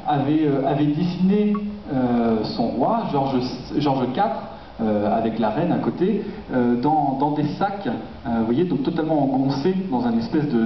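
Man, public lecturer, halting delivery, heavy room echo, faint background noise. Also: medium pitch, distant mic. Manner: hesitant, searching for words. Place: dark auditorium. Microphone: distant camera in the audience. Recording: echoey, dull, noisy auditorium recording from afar.

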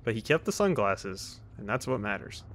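A man's voice: fairly low pitch, sing-song, moderate pace, vocal fry, fairly bright tone, slight background noise. A man giving casual let's play commentary with wry, joking asides.

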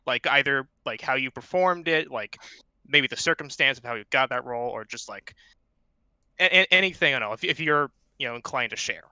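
Bright, rambling young-sounding jokey man. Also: medium pitch, animated, brisk, clear, resonant tone, no echo. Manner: rambling, jokey, self-deprecating. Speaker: audience member asking a question. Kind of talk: casual chat at an online fan panel. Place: remote voice chat.

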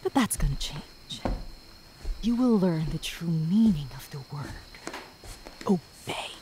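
A woman playing a sadistic, possessive female demon in an ASMR roleplay, theatrical, slipping between breathy whispers and menacing outbursts. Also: on the low side, animated, slow pace, dark tone, slight background noise, low-quality recording. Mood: possessive rage. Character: sadistic, obsessive, possessive female demon stalking her pet.

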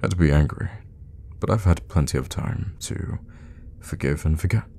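A man acts out a menacing vampire lord in an intimate ASMR audio roleplay. His voice is extremely low and thick with gravelly fry. He is suave, theatrical and cruelly teasing, over clear background ambience. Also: mellow, slight background noise. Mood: wry, wistful. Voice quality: very deep, gravelly. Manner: unhurried, theatrical, with drops into whispers.

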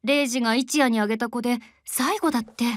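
Woman as a high-voiced doting young mother. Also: fairly even intonation, fast, natural voice. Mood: apologetic. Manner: bright, coaxing, affectionate. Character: cheerful, doting young mother talking to her little son. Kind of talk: Japanese anime-style audio drama acting.

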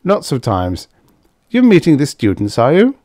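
A man voicing a calm, wry male diplomat with an understated, dry manner. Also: mid-range voice, moderate pace, dry recording, voice pushed up.

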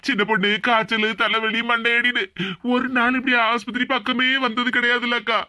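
A woman's voice: on the high side, little pitch movement, fairly quick, sharp tone, no room sound, studio quality. A woman voicing an anxious young husband waiting at a hospital. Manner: anxious, subdued, pleading.

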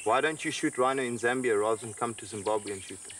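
A man's voice: mid-range voice, fast, a bit bright. A man interrogating someone outdoors, pressing with repeated questions. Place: outdoors in the bush. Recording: distant outdoor mic, faint insect noise.

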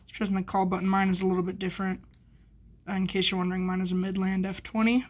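Woman doing a casual hobby vlog, mid-pitched voice, kinda rambly, thinking out loud. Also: level tone, fast. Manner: informal, rambling, thinking aloud. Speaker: hobbyist video maker.

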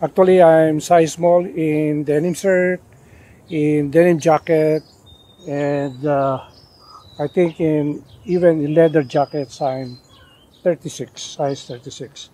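An older man showing off his denim jacket outdoors, talking casually with lots of pauses and "uh" fillers. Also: on the high side, fairly even intonation, warm tone, no echo. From Philippines. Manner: unhurried, many "uh" fillers and restarts. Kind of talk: casual show-and-tell. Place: outdoors in a leafy garden.

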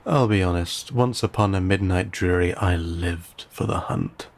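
A man playing an old vampire in an intimate audio roleplay, courteous and wry, with a husky, breathy voice and archaic, theatrical phrasing. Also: deep voice. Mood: hushed, confessional. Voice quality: husky, gravelly, often dropping to breathy whisper. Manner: archaic, formal, theatrical, teasing, halting.

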